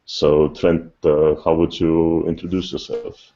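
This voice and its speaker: A man hosting a casual podcast interview over an internet call, speaking haltingly. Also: rumbling low, little pitch movement, dark tone, highs cut off. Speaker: podcast host. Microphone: online video call.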